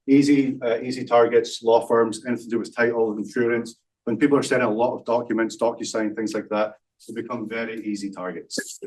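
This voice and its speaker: A Scottish man in his forties, a startup founder giving a live pitch with lots of ums and self-deprecating asides. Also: darkish tone, far from the mic, low-quality recording. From Scotland. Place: event stage.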